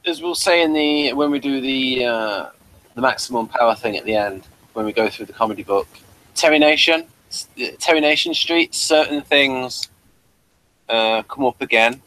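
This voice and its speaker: A man hosting a casual commentary chat about a TV episode, repeating words haltingly as he thinks. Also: mid-range voice, bright, forceful tone, rough recording. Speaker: podcast host.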